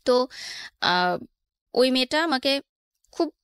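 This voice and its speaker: A woman with a fairly high voice and a Bangladeshi accent recounts her own life as a guest. She drops English words into her sentences. The sound is dry and close. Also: animated, slow, a bit bright, clean tone, no room sound. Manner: code-mixes English words into speech. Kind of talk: personal storytelling in a radio interview.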